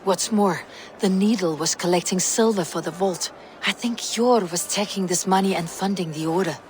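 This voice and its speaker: A woman's voice: fairly low pitch, normal speed, darkish tone, big-room sound, a little hiss or hum, close to an everyday voice. An actress playing a stern, determined female Viking warrior in a video game, speaking in a terse, commanding way. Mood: firm, urgent. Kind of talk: dramatic video game acting.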